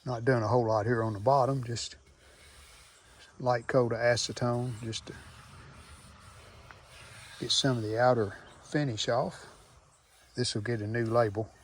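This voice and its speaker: Man, mid-pitched voice, casual workshop narration, plainspoken, short thinking-aloud phrases. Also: a little hiss or hum. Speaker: restoration video host.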